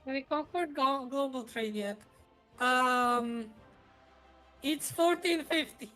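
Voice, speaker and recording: A woman's voice: sits high, slow pace, bright, forceful tone, faint music behind, rough recording. A woman from Croatia chatting casually as a streamer while playing a strategy game, rambling a little with dry humour. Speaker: gaming streamer.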